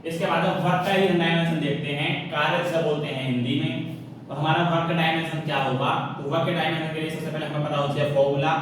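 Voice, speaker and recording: A man's voice: on the high side, fairly even intonation, quick pace. A man with an Indian accent teaching like a classroom lecturer, explaining step by step, repeating himself and answering his own questions. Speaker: physics teacher. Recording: very echoey room, distant mic, faint noise.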